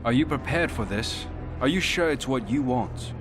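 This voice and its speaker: An actor playing a composed, loyal warrior hero in a video game, asking direct questions in a measured way. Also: male voice, mid-range voice, expressive, over music, lightly acted. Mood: composed, probing. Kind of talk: video game cutscene acting.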